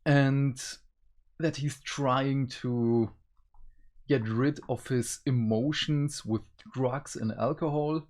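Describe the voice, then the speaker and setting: Slow-talking man hosting a casual review. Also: medium pitch, fairly even intonation, darkish tone. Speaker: review show host.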